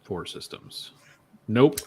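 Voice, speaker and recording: A man hosting a casual podcast live stream, joking and teasing his co-hosts. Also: very noisy. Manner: jokey, quick laughs, teasing. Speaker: podcast host.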